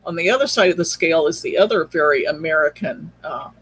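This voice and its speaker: Male interview guest, slightly high voice, halting casual delivery, faint noise on an online call. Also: animated, fairly bright tone, low-quality recording. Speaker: podcast drama producer, interview guest. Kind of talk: casual chat.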